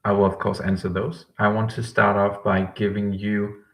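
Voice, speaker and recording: A man's voice: on the low side, level tone, moderate pace. A man hosting a live-stream question session as a language coach, rambling with frequent ums and self-corrections. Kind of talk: live-stream talk to chat viewers.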